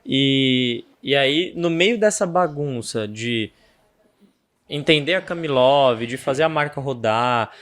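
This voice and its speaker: A man hosts a podcast interview and asks long, winding questions. He speaks in an animated, casual way, with plenty of fillers. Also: mid-range voice.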